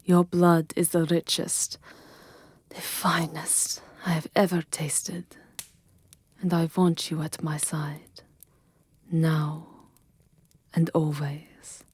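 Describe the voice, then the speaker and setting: Woman acting a slow, breathy, low-voiced vampire seductress. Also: flat delivery, darkish tone, heavily acted. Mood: tender, seductive. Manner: slow, breathy, haughty, often dropping to a whisper. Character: possessive, seductive, imperious ancient female vampire lover. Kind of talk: intimate ASMR roleplay acting.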